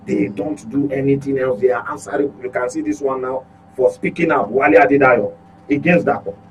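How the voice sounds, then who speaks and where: A man's voice: mid-range voice, steady tone, a little fast, slight background noise, poor audio. A Nigerian man speaking as a guest commentator in a political discussion, emphatic and repeating phrases for stress.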